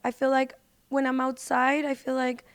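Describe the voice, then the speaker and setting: A woman with a smooth, fairly high voice talks casually as a podcast guest. Her pitch stays in a narrow, even band. She phrases things informally, leaning often on "like" and "you know". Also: normal speed. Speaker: podcast guest, singer and social media personality. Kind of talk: casual chat.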